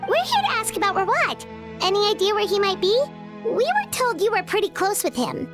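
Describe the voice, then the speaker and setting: A woman's voice: extremely high pitch, big pitch swings, brisk. A woman voicing a tiny, chatty companion figure who is eager and blunt. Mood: chirpy. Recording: game dialogue over music.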